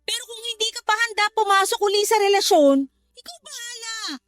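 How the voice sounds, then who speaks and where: A woman's voice: extremely high pitch, brisk, no room sound, studio quality. An actress playing an outspoken, loyal young sister in an audio drama, who scolds her brother bluntly, comforts him tenderly, and narrates her own letter. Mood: reassuring. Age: young adult. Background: soft music under dialogue, louder music under narration.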